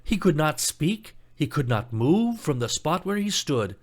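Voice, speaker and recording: Man narrating an expressive fairy tale. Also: fairly high pitch, measured pace. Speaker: storybook narrator.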